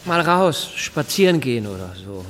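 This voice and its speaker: An actor playing an anxious hospital patient in his early forties in a radio drama, halting and downplaying his worry. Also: mid-range voice, very animated, fairly bright tone, slight background noise. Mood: restless. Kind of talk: German radio drama acting.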